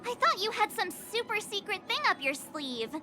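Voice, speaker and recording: A cheeky young woman in a game, bubbly and teasing, with a very high and bouncy voice. Game music plays clearly behind her. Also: very animated, moderate pace, pushed and bright, exaggerated voice. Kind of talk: video game voice acting.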